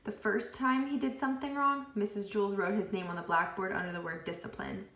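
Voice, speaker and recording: A woman reading a children's book aloud expressively, with deliberate phrasing and acted dialogue. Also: medium pitch, steady tone, a bit bright, roomy sound. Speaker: read-aloud storyteller.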